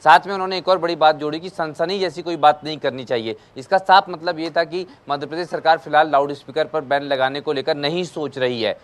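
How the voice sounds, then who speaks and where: A man's voice: a little high, fairly even intonation, fairly quick, few pauses. A man working as a television news correspondent, giving a live explanatory report.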